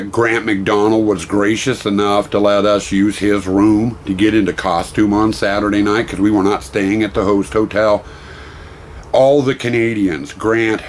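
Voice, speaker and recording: A hoarse, slightly raspy middle-aged man talks at length in a low voice, rambling and effusive as he recounts and thanks people. He sounds a bit worn out, and there is a faint hum of room ambience around him. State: hoarse, losing his voice. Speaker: vlogger. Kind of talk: casual vlog talk.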